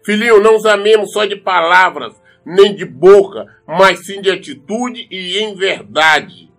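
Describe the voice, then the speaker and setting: Male preacher, Brazilian accent, high voice, earnest and emphatic, faint music. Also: a little slow, vocal fry, a bit bright. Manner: earnest, admonishing; emphatic, repeats phrases for stress. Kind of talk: sermon with scripture reading.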